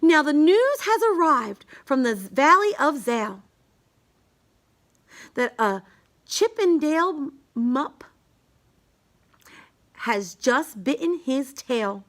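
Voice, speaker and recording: Casting: high-voiced woman, unhurried and very animated, for reading rhyming picture books aloud. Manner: sing-song, emphatic storytelling. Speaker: children's book reader. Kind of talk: read-aloud of a rhyming children's book.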